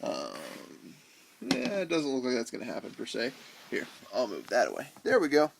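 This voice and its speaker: An American man presents a do-it-yourself music gear demonstration in an average-pitched voice. He talks informally and without a script. His delivery is halting, as if working out each explanation aloud while he handles things. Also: wildly swooping pitch, creaky, background noise. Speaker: host of a DIY music gear video. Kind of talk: casual hands-on demonstration, unscripted.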